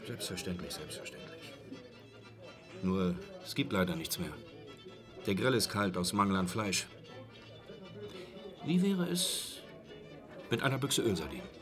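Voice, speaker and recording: An actor playing a brusque, grumbling and brazenly unbothered village innkeeper in a German radio drama, chatty and glib. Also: male voice, deep voice, sing-song, measured pace, pauses often, music in the background, full character voice. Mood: glib, obliging.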